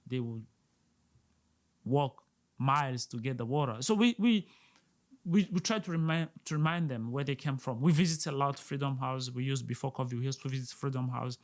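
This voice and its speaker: A man's voice: mid-range voice, normal speed. An earnest man from Burundi in middle age talks as a podcast guest in a mid-range voice. He presses his points by repeating words as he speaks. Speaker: restaurant owner, guest. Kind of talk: casual interview talk.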